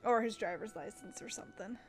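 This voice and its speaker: A woman's voice: medium pitch, fairly quick, faint music behind. A young woman streaming a game, chatting casually and reading the game's text aloud. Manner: chatty, giggly, gushing.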